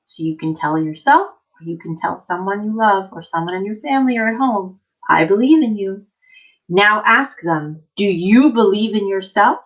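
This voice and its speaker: A woman's voice: medium pitch, moderate pace, rough recording. A woman in the role of a teacher reading a picture book aloud to children, speaking directly to them with emphatic encouragement.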